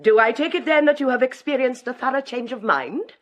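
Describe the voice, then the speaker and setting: A formidable British bishop's wife in a period radio play. Her voice is high and sweeps widely in pitch. She is haughty and indignant, interrogating with heavy emphasis. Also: expressive, a little fast, highs cut off. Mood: stern reproach. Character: domineering, indignant bishop's wife, imperious and scornful. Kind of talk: period radio drama acting.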